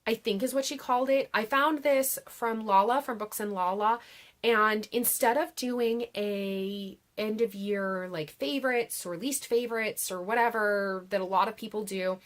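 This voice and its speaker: A woman hosting her own book channel, chatting casually to camera with a raspy, congested voice after a long day of filming, with frequent restarts and "like"s. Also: mid-range voice, moderate pace. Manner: chatty, restarts sentences, many "like"s. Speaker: BookTube host. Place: home filming setup beside a laptop.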